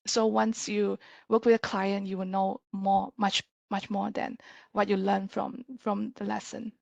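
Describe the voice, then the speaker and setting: A woman in her thirties with a Malaysian accent, giving an informal, self-deprecating guest lecture in a halting way with repeated words. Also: medium pitch, flat delivery, gravelly, darkish tone, no echo, muffled recording. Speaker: guest lecturer, sustainability consultant. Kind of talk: casual presentation lecture.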